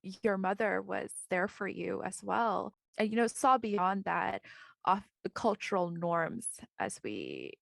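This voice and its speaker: A podcast host, a woman, asks her guest gentle, affirming questions. Her voice has a gravelly, creaky edge, and it is recorded close and dry with no room sound. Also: mid-range voice, sing-song, takes it slowly, no echo. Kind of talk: interview questions.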